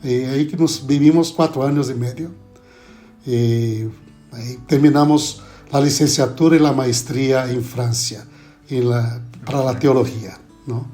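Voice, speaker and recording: A middle-aged man from Brazil, a pastor with a Brazilian Portuguese accent, talking in a relaxed, halting way with frequent tag questions as a podcast guest. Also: medium pitch, soft background music. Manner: relaxed, adds "no?" tags, halting Spanish. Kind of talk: podcast interview.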